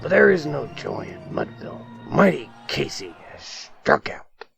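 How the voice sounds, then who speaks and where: A man reads a classic poem as a theatrical recitation, emphatic, moving between loud declaiming and hushed, drawn-out phrases. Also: on the high side, wildly swooping pitch, vocal fry, slightly rough. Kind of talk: theatrical dramatic poetry recitation. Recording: music and crowd noise behind. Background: music and crowd sounds.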